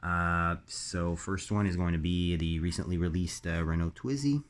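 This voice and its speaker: Man, very deep voice, slightly raspy, halting casual host, frequent fillers. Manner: halting, many ums and uhs. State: raspy voice recovering from illness. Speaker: diecast collector host. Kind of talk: casual unboxing commentary.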